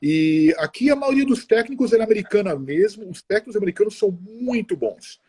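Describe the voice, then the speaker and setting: Middle-aged guy, slightly high warm voice, rambles and restarts a lot, video call. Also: lively intonation, breathy edge. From Brazil. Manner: chatty, halting, many restarts and tag questions. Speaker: interview guest. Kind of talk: casual conversational interview.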